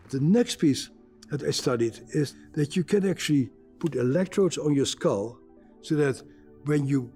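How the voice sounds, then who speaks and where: An older man, a psychiatrist with a gravelly voice, explaining things in a measured way in an interview, with wry asides. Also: fairly high pitch, mellow.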